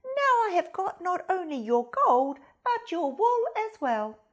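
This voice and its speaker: A woman's voice: extremely high pitch, sing-song, measured pace, exaggerated voice. A woman playing a clever young male fox in a storybook, exclamatory, playful and crowing. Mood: gleeful, mocking.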